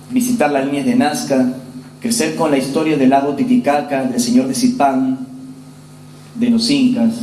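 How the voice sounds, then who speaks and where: A man in his forties from Peru giving a public lecture, telling his story to the audience in an earnest, measured way. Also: medium pitch, dark tone, recorded from a distance, slight background noise. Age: 40s. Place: lecture hall. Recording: roomy hall with faint ambience.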